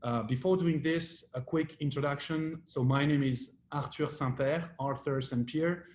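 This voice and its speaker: A man's voice: on the high side, a little slow, darkish tone. French guy doing a webinar talk, lots of uhs and restarts, echoey room with a bit of background hiss. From France. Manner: hesitant, many fillers and restarts. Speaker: company founder giving a webinar talk. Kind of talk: presentation with slides. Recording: roomy echo with faint ambient noise.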